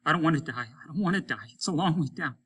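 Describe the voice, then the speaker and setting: A man's voice: on the high side, animated, racing, bright, forceful tone, slightly rough, voice pushed up, exaggerated voice. A man voicing a panicked, despairing astronaut in a story, pleading and repeating himself with a stammer. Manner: repetitive, stammering.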